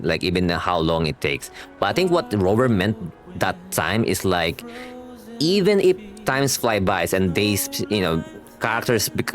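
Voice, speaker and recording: A young man giving casual, slangy reaction commentary as a game streamer, rambling and rephrasing as he goes. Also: fairly low pitch.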